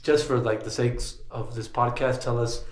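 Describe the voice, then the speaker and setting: Joking young podcast host, echoey room. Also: male voice, mid-range voice, little pitch movement, moderate pace. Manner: joking, interjects and finishes the guest's sentences. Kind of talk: casual chat.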